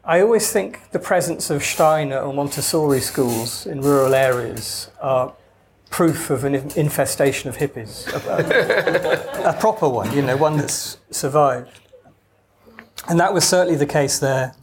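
A man's voice: a little high, unhurried, a little hiss or hum. A man from Wales with no Welsh accent, an author talking casually in an interview, wry and digressive with hesitant restarts. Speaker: author guest.

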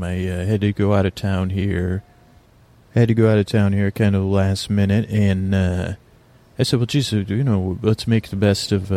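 Gravelly, dark-toned man rambling slowly. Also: fairly low pitch. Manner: meandering, halting, with restarts and filler words; slow drowsy lulling delivery. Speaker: podcast host. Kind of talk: rambling bedtime monologue.

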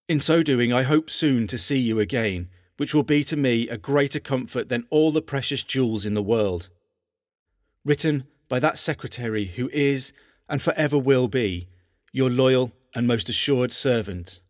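An actor playing an earnest, lovesick English king, reading love letters aloud in a measured, formal, archaic manner. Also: mid-range voice, no room sound, studio quality, lightly acted. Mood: hopeful, devoted. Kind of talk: dramatised reading of historical letters.

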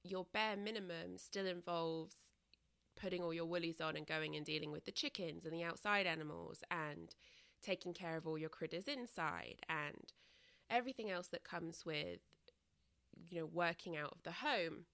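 A woman host raised in Britain, talking through a casual solo podcast, a little congested and croaky, candid and rambling with many ums. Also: on the low side, moderate pace, a bit bright, no echo. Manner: chatty and candid, many ums and self-corrections.

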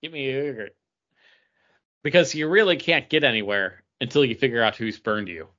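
A man's voice: medium pitch, a little fast, fairly bright tone, dull audio. A man co-hosting a podcast, chatting casually about geek culture with a wry, play-along manner. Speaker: podcast co-host.

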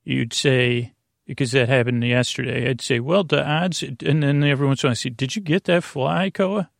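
A man with a gravelly voice hosting a sleep podcast in a meandering, halting monologue, often interrupting himself and drifting off on tangents. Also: medium pitch, steady tone, no echo, clean studio sound. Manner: meandering, halting, digressive, trailing off and self-interrupting. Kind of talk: rambling bedtime podcast monologue.

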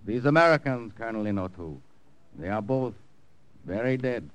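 An actor playing a measured, deferential Siamese prison camp doctor in a vintage radio drama. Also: male voice, lowish voice, lively intonation, smooth, highs cut off, natural voice. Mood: deferential, solemn. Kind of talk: 1940s radio drama acting.

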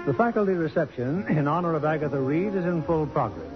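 Male 1950s radio announcer, slightly high voice, scripted delivery, dull vintage broadcast sound. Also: big pitch swings, quick pace. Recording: dull old radio broadcast, music behind.

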